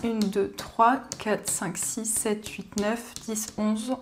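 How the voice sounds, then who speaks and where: Higher-pitched woman reading tarot cards. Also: fairly even intonation, slow pace, a little hiss or hum. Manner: gentle, soothing, explanatory. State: slightly hoarse from a cold. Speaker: card reader. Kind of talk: daily tarot card reading.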